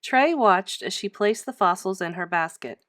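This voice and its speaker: A woman reads a children's storybook aloud in a teacherly way. Her mid-pitched voice is highly expressive and emphatic, moving up and down a lot, and the sound is dry and close. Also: normal speed, no echo.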